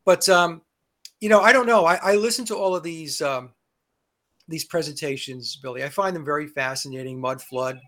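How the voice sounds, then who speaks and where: A man as a guest in a casual interview over a video call, speaking haltingly with many fillers and restarts. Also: fairly high pitch, pushed and bright.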